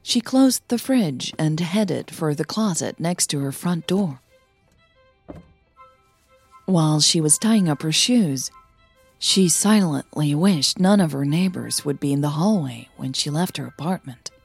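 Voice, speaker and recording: A woman narrating a scripted audio story as a podcast host, with measured, deliberate phrasing. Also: deep voice, animated, vocal fry, darkish tone, husky, soft background music.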